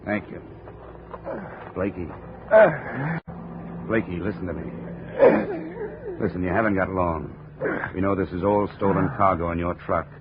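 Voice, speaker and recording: Deep-voiced hard-boiled investigator. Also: male voice, steady tone, unhurried, long pauses. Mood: pressing. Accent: American. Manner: terse, dry. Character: hard-boiled, dogged investigator narrating his own case. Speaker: lead actor. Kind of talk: 1950s radio detective narration and dialogue. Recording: narrow-band old radio recording, faint noise.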